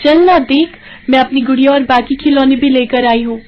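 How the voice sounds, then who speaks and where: Pouty, stubborn little rich girl for a storybook, high and childlike played by a woman. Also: normal speed, dull audio, slight background noise, natural voice. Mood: pleading.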